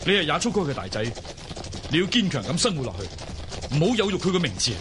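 A man's voice: fairly high pitch, very animated, fast talker, background noise. A man playing a brave, loyal young son of a Mongol chieftain in a Cantonese audio drama. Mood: solemn.

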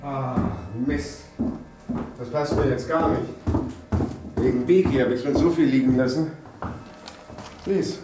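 Actor as a curt, domineering husband, very echoey. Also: male voice, medium pitch, a little slow, pauses often, over loud music, natural voice. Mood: irritated. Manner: curt, commanding. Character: gruff, domineering, irritable businessman husband. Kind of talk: German radio drama acting. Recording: very echoey, distant, noisy.

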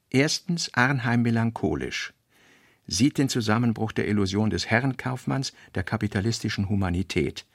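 A man narrating literary notes for a radio play in a deliberate, fragmentary way, weighting key words. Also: mid-range voice, no echo, clean studio sound. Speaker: narrator reading a novelist's working notes. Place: dry studio.

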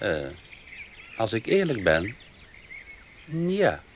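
A man's voice: on the low side, huge pitch swings, drawn-out words, exaggerated voice. An actor playing a deferential servant in his twenties in a radio play, modest, wary and hesitant. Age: 20s. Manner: hesitant, respectful. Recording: faintly noisy old radio play recording.

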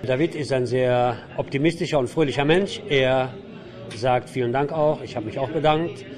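A man's voice: medium pitch, normal speed, a little mellow, soft background music. A man hosting a radio show, casually interviewing and relaying answers as an interpreter. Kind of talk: casual interview with spoken translation.

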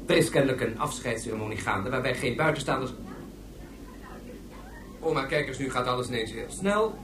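A woman playing a dramatic, gushing live television reporter in a radio drama, insistently addressing her viewers. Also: low voice. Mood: measured suspense. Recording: echoey, noisy radio play recording.

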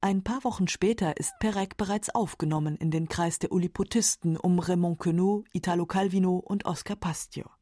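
Husky, low-pitched woman narrating. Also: fairly quick, hardly any pauses, warm tone, no echo, studio quality. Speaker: documentary narrator. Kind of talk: scripted narration, German radio literary feature. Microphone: close studio microphone.

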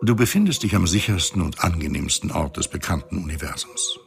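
Deep, gravelly, glib space-colony reanimator. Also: male voice, animated, brisk, over music, full character voice. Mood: proud, explaining. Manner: wry, flippant, explanatory. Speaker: actor in a radio drama. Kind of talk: German science fiction radio play acting.

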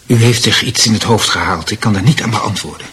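Stern, bright-voiced priest. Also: male voice, lowish voice, brisk, husky. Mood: cold, restrained. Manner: formal, firm, curt. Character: cold, stern priest rebuffing a woman's love. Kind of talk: radio drama acting.

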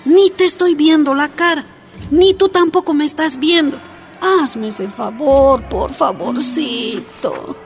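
A woman's voice: high voice, slow pace, faint music behind. An actress playing a jailed miner's wife and young mother, pleading and defiant in a radio drama. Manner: pleading then defiant, emotional.

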